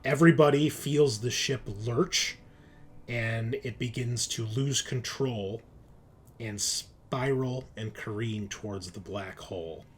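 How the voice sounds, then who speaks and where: Slow-paced male game master. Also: mid-range voice, slightly rough. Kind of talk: narrating a tabletop roleplaying game.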